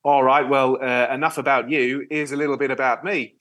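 A man's voice: mid-range voice, level tone, fairly quick, fairly bright tone, voice pushed up, lightly acted. A man imitating a blunt, self-absorbed Australian yachtsman in a put-on Australian accent while telling an anecdote. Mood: self-absorbed bluster.

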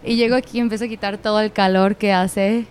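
A woman hosting a casual podcast, upbeat and quick to laugh, with slang. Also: medium pitch, fairly even intonation, a little mellow, slight background noise. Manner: upbeat, laughs often, uses Mexican slang. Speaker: podcast host.

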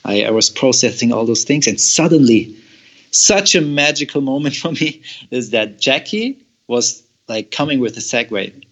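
A young man from Germany, a guest telling a long personal story with halting, repeated words and frequent laughs. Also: medium pitch, animated. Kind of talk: storytelling in an interview.

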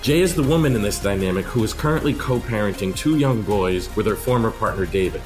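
A man's voice: fairly low pitch, animated, quick pace. Podcast host guy doing his ad, music playing underneath. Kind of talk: scripted podcast promo.

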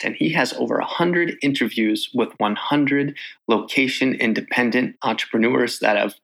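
A man's voice: mid-range voice, gravelly, a bit bright, poor audio. A man from New York talking in a loose, casual way, with lots of fillers, as he opens his own podcast and then answers questions as a guest. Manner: loose, chatty, many fillers. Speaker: podcast host, here a guest. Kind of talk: casual conversational intro and interview.

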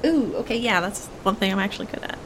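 Female gamer, mid-pitched, informal and playful table talk, light rumble behind her. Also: lively intonation, fast talker. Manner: playful, chatty. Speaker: tabletop game player. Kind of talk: casual game-table chat.

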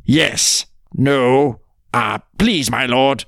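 A man's voice: mid-range voice, drawn-out words, vocal fry, a bit bright, no room sound, clean studio sound, heavily acted. A man voicing a sly jackal in a storybook tale who plays muddled and dim, hesitant and plaintive. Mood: flustered, pleading. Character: sly trickster jackal feigning muddled, dithering stupidity. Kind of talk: storybook character reading.

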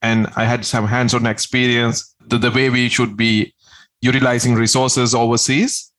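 A man's voice: medium pitch, flat delivery, normal speed, fairly bright tone. A man from Sri Lanka, a tax agent answering interview questions in an earnest, step-by-step way.

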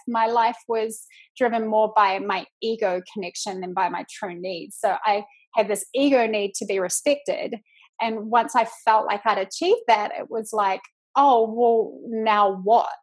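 A woman's voice: a little high, normal speed, slightly rough. A woman in her thirties from New Zealand, with a New Zealand accent, talking reflectively and chattily as a podcast guest, with many fillers. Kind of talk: casual podcast conversation.

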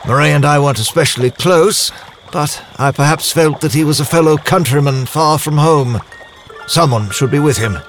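An actor playing a genteel, proud nobleman diplomat, recounting his story in formal, measured language for an audio drama. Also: a little high, a bit bright, soft background music. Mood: somber, reflective. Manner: formal, measured, literary diction. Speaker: voice actor, lead role. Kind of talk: first-person audio drama narration.

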